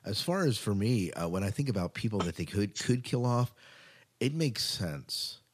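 A man's voice: on the low side, very animated, normal speed, husky. A man co-hosting a podcast in casual chat about TV news, stressing points by repeating his words. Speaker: podcast co-host.

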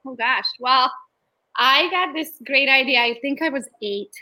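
Romanian-accented woman in her thirties, guest storyteller; bright, forward voice, animated and laughing. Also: high-pitched, a little slow, rough recording. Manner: lively, chatty storyteller who laughs often. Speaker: guest, real estate agent. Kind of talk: casual podcast interview.

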